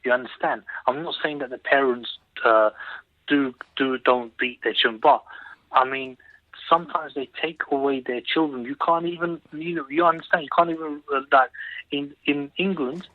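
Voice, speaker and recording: A man's voice: fairly high pitch, normal speed, dry recording. Guy phoning in to radio, emphatic and repetitive, thin phone audio. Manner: earnest, emphatic, repeats points. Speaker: caller. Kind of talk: phone-in call to a radio show. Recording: tinny phone line.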